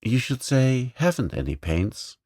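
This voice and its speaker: A man's voice: mid-range voice, lively intonation, unhurried, dry recording, clean studio sound, voice pushed up. A man reading aloud, voicing an impetuous, bossy little girl who talks frankly and eagerly.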